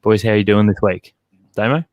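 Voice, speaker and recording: Low-voiced Australian man hosting. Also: normal speed, darkish tone, dry recording, muffled recording. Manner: hesitant, lots of ums and restarts. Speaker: podcast host. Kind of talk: casual sports chat.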